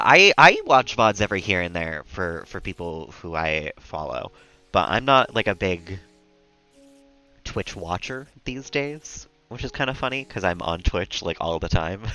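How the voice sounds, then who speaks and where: A man chatting casually and calmly as he streams a video game, rambling a little as he plays. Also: deep voice, low-quality recording. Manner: calm, relaxed, rambling. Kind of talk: casual chat while gaming.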